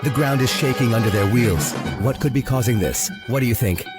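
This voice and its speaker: Guy narrating a little kids story, really animated up and down voice, music playing behind. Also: medium pitch, fairly quick. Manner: expressive, asks the viewer questions. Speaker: narrator of a children's toy video. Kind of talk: scripted storytelling for young children.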